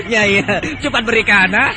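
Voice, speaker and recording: A boastful, drunk young lord in a radio-style drama who slurs and rambles, with a fairly high voice and a slow drawl, played by a man. Loud music plays behind him. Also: rough recording. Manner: slurred, rambling, laughing. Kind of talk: audio drama acting. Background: loud background music.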